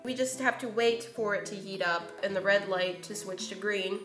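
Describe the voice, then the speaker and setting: Bright-voiced young woman, casual vlogger. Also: medium pitch, moderate pace. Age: 20s. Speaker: host of a baking video series. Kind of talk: casual chatty how-to vlog. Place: home kitchen, indoors. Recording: roomy kitchen echo. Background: background music.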